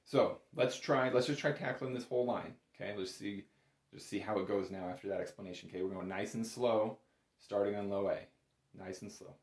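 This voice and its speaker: Man, lowish voice, music instructor, informal step-by-step explanations, reassuring, echoey room recording. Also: fairly even intonation, a little fast. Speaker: bagpipe instructor. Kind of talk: casual step-by-step music lesson.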